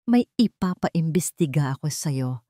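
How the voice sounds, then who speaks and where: A woman's voice: low-pitched, animated, unhurried, warm tone, no room sound. Woman playing stern protective man, Filipino accent, cold, clipped, commanding, audio drama, dry sound. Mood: cold, determined.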